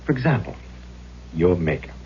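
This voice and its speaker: Courtly low-voiced radio-drama gentleman. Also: big pitch swings, moderate pace. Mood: suave, confident. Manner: old-fashioned, genteel, theatrical phrasing. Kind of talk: old-time radio drama acting. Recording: dull, noisy old radio recording with hum.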